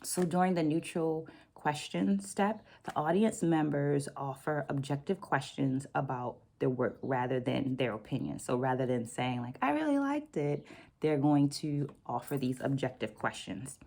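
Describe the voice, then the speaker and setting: A middle-aged woman hosting a reflective vlog, explaining things deliberately and stressing key words. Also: deep voice, lively intonation, vocal fry, darkish tone. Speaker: book vlog host and dance educator. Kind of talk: reflective vlog talk with read-out quotes.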